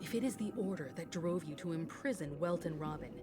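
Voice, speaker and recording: A woman's voice: lowish voice, lively intonation, a little fast, music in the background, close to an everyday voice. An actress playing a composed, stern woman leader in a video game, with a firm, formal, controlled delivery. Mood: stern, defiant. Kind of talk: scripted game voice acting.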